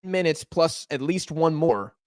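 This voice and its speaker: Hoarse, excitable man calling a live match. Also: fairly high pitch, unhurried, no room sound, clean studio sound. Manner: excitable, shouts at big chances. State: hoarse, losing voice. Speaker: watch-along host. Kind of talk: live match watch-along commentary.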